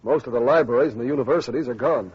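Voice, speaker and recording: An actor playing an earnest, idealistic male community leader in a radio drama, speaking deliberately and anxious for the future. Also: medium pitch, slightly rough. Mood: worried, earnest. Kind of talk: 1950s radio drama acting. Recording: muffled old radio recording, music behind.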